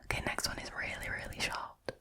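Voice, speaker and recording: A woman speaking in a breathy whisper, telling short stories and chatting casually about them in a relaxed ASMR style. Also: a little fast. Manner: informal, chatty, amused. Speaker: host reading and reacting to short stories.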